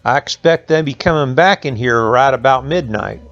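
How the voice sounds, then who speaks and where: A man's voice: mid-range voice, normal speed, a bit bright, dry recording, muffled recording, faint music behind, natural voice. A man voicing an eager, folksy male farm dog, ready for a fight, in a storybook tale. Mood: eager, wary.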